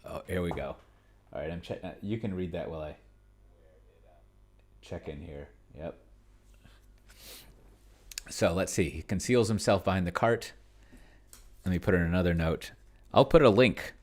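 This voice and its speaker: A man giving casual live commentary as he plays a tabletop game solo, rambling and hesitant as he thinks out loud. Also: low-pitched. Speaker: solo tabletop game player and video host.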